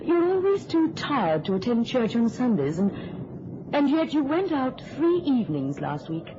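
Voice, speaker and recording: A woman's voice: high-pitched, big pitch swings, moderate pace. An actress playing a watchful, suspicious churchgoing woman in an old-time radio drama, probing and insistent. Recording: noisy, muffled old radio drama recording.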